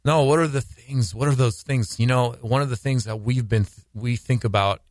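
A man from the United States, a missionary guest on a radio interview, speaking thoughtfully and haltingly, with frequent restarts. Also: mid-range voice, dry recording, clean studio sound. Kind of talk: casual interview talk.

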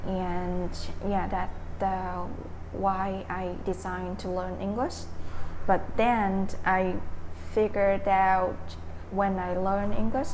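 A young woman from Vietnam speaking English with a Vietnamese accent, answering interview questions hesitantly, often pausing and restarting as she searches for words. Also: mid-range voice, background noise.

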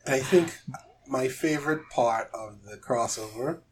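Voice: medium pitch, a little slow, slight background noise. A podcast co-host talking casually and playfully, acting out bits of dialogue while chatting as a fan. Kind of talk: casual fan discussion with acted-out quotes.

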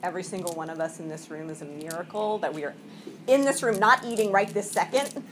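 A woman around forty talks to a support group in a meeting room, a little sniffly from a cold. Her speech is halting and full of fillers and restarts, broken up by frequent laughs. Faint room noise sits under her voice. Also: fairly low pitch. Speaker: recovery meeting speaker. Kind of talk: personal share at a support meeting.

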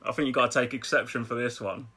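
A middle-aged man with a Birmingham accent chats on a podcast. His voice is bright and ringing at a middle pitch, and he laughs easily, often repeating himself as the stories tumble out. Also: husky. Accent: Birmingham English. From Birmingham, England. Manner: chatty, laughs often, repeats words when excited. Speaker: guest artist. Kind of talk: casual podcast chat.

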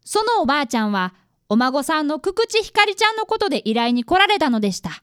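A woman's voice: high-pitched, big pitch swings, quick pace, a bit bright, dry recording, clean studio sound. A woman voicing an earnest fifth-grade boy who is an apprentice detective and narrates a radio drama. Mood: earnest narrating. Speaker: narrator and actor.

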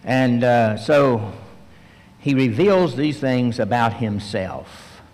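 A man preaching a verse-by-verse sermon, teaching slowly and with emphasis, pausing often with fillers. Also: medium pitch, a little mellow. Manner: slow, emphatic teaching with frequent pauses and fillers. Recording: long hall reverb.

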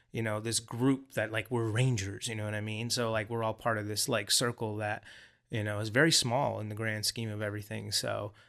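A man's voice: medium pitch, fairly bright tone. A man co-hosting a casual show, talking in a rambling, friendly way with many fillers. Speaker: podcast co-host. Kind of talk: casual chat.

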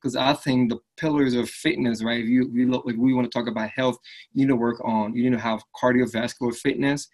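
A man's voice: mid-range voice, fairly even intonation, fast, darkish tone, poor audio. A man from Liberia, speaking earnestly and upbeat as an interview guest, often tagging lines with "right?". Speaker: fitness business owner, guest. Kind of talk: casual interview conversation.

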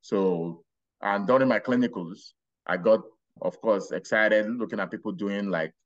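Brief: middle-aged man, Nigerian accent, lowish voice, muffled lo-fi audio, storytelling podcast guest. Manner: unhurried, rambling with restarts and laughs. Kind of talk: casual interview storytelling.

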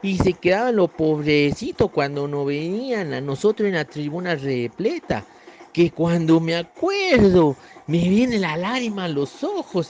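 A man putting on an aging, nostalgic football fan with a northern Argentine accent, mournful and bitter. His voice sits high and swings widely, and he keeps turning to the listener with "señor". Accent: northern Argentine (put on). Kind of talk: reading a short story aloud.

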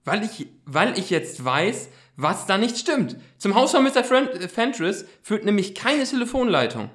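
A man's voice: high-pitched, sing-song, moderate pace, a bit bright, pitched above the performer's own voice. A man playing an earnest, pedantic teenage boy detective with formal, precise wording in an amateur radio play. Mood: urgent.

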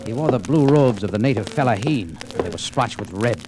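An actor playing a hardboiled American adventurer, narrating and talking tersely and wryly in 1940s radio noir style. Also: male voice, mid-range voice, expressive, fairly quick, very noisy, natural voice. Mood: wry narration. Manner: terse, world-weary, wry.

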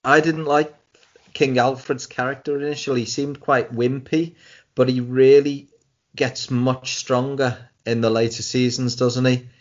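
A man speaks casually as a podcast co-host. His voice is middling in pitch, and the audio is slightly dull. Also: steady tone, a little slow. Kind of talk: casual chat.

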